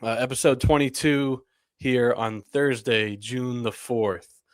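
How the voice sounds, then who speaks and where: A man's voice: medium pitch, slow, dry recording. A young man hosting a casual sports podcast, a little under the weather and rambling with lots of "like" and laughs. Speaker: podcast host.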